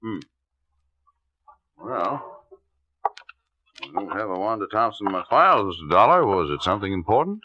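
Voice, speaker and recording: A man's voice: fairly low pitch, animated, moderate pace, pauses often, noisy background, lightly acted. A man playing a guarded, evasive doctor with something to hide, in a 1950s radio drama. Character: evasive, defensive male doctor hiding a crime. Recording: dull lo-fi old radio recording.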